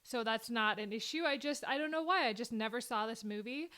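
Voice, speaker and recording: A woman's voice: fairly high pitch, brisk, sharp tone. Chatty Minnesotan woman in her late twenties. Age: late 20s. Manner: chatty, upbeat, explanatory. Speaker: vlogger reacting to a film. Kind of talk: casual commentary.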